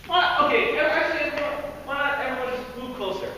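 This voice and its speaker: High bright-voiced teen boy in an echoey hall. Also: fast talker. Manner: loud, energetic, laughs often. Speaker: youth speaker. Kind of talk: lively devotional talk to a group. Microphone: no microphone. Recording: echoey hall, far from mic, faint noise.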